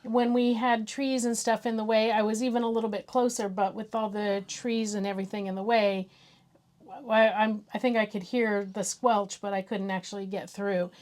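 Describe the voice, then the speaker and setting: A woman's voice: mid-range voice, flat delivery, moderate pace, a little mellow. An American woman co-hosting a casual gadget review in a chatty, conversational way.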